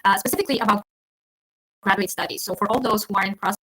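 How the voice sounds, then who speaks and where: A woman's voice: medium pitch, very fast, sharp tone, breathy edge. A woman education advisor hosting a live webinar, friendly and talking with many fillers and restarts.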